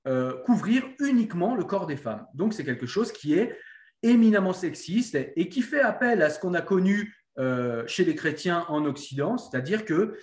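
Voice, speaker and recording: A man's voice: on the high side, roomy sound. A man in conversation explaining his view emphatically. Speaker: discussion guest. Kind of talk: casual spoken debate.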